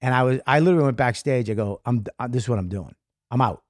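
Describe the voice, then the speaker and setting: A man with a Boston accent tells his life story as a guest comedian. He rambles and stumbles over restarts, mocking himself. His voice sits mid-pitch in a dry, close recording. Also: a little mellow, dry recording. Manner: rambling, self-deprecating, halting restarts. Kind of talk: interview storytelling.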